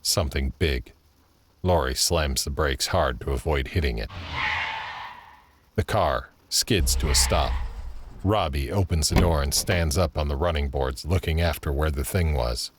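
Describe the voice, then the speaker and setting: Very deep-voiced male narrator. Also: measured pace. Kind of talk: scripted narration of audio drama, screenplay-like. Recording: faint ambient noise under narration.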